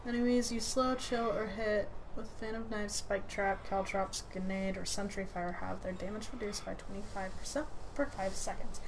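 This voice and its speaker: A woman with a medium-pitched voice chats casually during co-op gameplay. Her delivery is slow and relaxed in pace, with clear game ambience behind her. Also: background noise. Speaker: video game streamer. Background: fantasy action game ambience.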